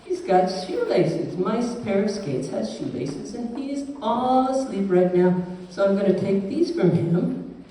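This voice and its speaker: A woman's voice: medium pitch, expressive, measured pace, dark tone, close to an everyday voice. A woman performing a cheerful, chatty, fashion-minded schoolchild in a live puppet show, musing aloud in a theatrical sing-song manner. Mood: scheming, upbeat. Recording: echoey, noisy hall from far off.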